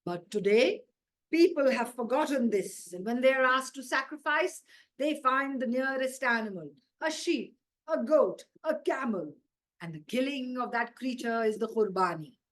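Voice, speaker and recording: A woman's voice: high-pitched, lively intonation. Woman playing a solemn, emphatic preaching mullah. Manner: deliberate, emphatic, oratorical. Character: grave preaching bearded male mullah on video.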